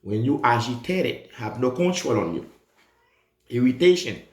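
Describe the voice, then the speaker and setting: Animated man teaching in an echoey room. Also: mid-range voice, unhurried. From Haiti. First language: Haitian Creole. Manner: animated, emphatic, repeats key points. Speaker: nursing exam tutor. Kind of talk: lively exam review lesson.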